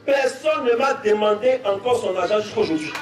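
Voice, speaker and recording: High-voiced Ivorian preacher in an echoey hall. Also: male voice, normal speed, a faint crowd. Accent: Ivorian French. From Côte d'Ivoire. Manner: storytelling, repeats phrases, rises to shouts. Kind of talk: sermon testimony. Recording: echoey, from far off, faint music.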